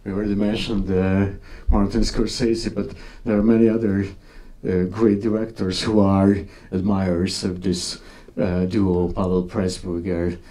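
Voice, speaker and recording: A man's voice: low voice, flat delivery, measured pace, dark tone, far from the mic. A man moderating a talk, putting interview questions in non-native English phrasing. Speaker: conference moderator.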